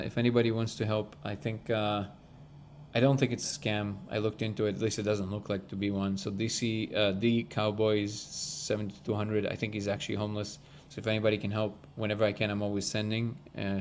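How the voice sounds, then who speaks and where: A man's voice: fairly low pitch, fairly quick, slight background noise. A man who grew up in Russia, hosting a live discussion stream, chatting casually and earnestly with viewers and reading out their comments as he goes. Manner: rambling, restarts, animated and earnest. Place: Southern California.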